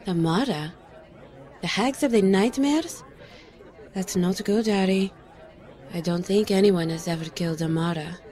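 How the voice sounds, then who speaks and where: An actress playing a petite, caring but sharp-tongued former lover in a noir audio drama, speaking earnestly and pointedly. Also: female voice, fairly low pitch, unhurried, pauses often, some chatter behind, lightly acted. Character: tiny, sharp-tongued, caring female old flame, a pixie.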